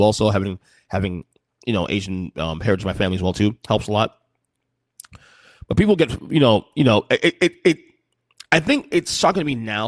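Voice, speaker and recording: A man's voice: medium pitch, no echo, studio quality. A man in his forties hosting a solo podcast, talking casually with many restarts and fillers. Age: 40s. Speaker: podcast host.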